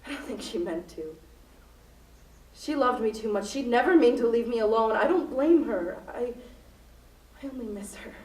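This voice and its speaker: A woman's voice: a little high, animated, moderate pace, pauses often, lightly acted. A woman playing a distraught, grieving widow who has taken hostages, in a dramatic, poetic radio play performance. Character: distraught grieving widow, armed hostage-taker telling her love story. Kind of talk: student radio play acting. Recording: roomy distant stage recording with music.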